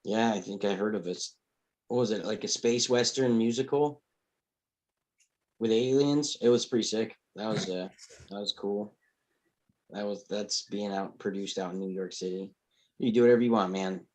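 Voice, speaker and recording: A man's voice: on the low side, moderate pace, pauses often, darkish tone. A man talking casually and conversationally in a group discussion. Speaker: table read participant.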